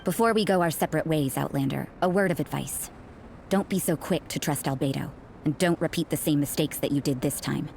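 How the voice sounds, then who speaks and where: A woman playing a stern, cynical young nun in a video game, guarded and suspicious, with a cool, sardonic, deliberate delivery. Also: deep voice, gravelly, a little mellow, slight background noise. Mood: cautioning. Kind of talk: English video game character dialogue.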